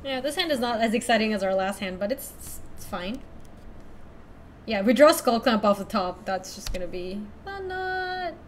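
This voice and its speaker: A young woman from Ecuador chatting casually through a card game stream, thinking out loud and laughing often. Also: on the high side, animated, normal speed, a little hiss or hum. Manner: chatty, giggly, thinks aloud to chat. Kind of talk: casual live-stream commentary.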